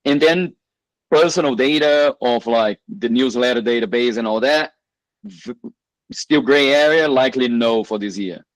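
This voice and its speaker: A man on a community call talks hesitantly, leaning on lots of "uh" and "like". His pitch is mid-range and the sound is dry and close to the mic. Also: normal speed, dry recording, poor audio. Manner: hesitant, with many fillers and "like". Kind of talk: casual video call chat.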